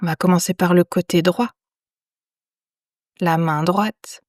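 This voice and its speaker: A woman guiding a yoga nidra meditation in a soothing, unhurried manner, leaving long pauses between phrases. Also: lowish voice, fairly even intonation, darkish tone, no room sound, studio quality. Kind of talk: guided meditation.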